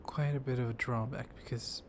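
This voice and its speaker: A man playing a seductive, softly dominant vampire librarian in an ASMR roleplay, speaking in a hushed, flirtatious way with lingering pauses. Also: medium pitch, steady tone, slight background noise, lightly acted. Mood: flirtatious, confiding. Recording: loud rainstorm ambience with thunder. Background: rain and thunder ambience.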